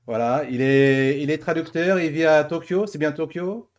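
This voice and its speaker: A man's voice: on the high side, moderate pace. A man hosting a casual conversational interview, talking in a familiar, teasing way with his guest. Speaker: show host.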